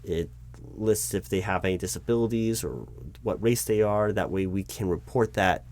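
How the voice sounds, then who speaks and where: An American man playing a flustered corporate recruiting manager in a spoken ASMR roleplay, explaining things in a halting, officious way. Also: fairly low pitch, darkish tone. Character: flustered bureaucratic male corporate recruiting manager addressing his disguised boss. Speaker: performer of a one-sided roleplay. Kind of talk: improvised conversational ASMR roleplay, spoken aloud.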